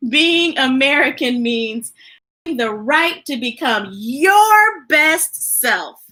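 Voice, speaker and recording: A woman's voice: high-pitched, expressive, very slow, sharp tone. A woman hosting children's storytime, reading picture books aloud and chatting informally with viewers in an enthusiastic, folksy way. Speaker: children's storytime host and reader.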